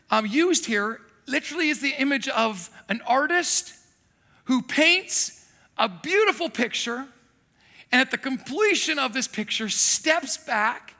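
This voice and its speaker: Bright-voiced animated pastor in a hall. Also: male voice, very high-pitched, unhurried. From Thailand. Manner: animated, self-deprecating storytelling. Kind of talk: sermon. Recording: long hall reverb.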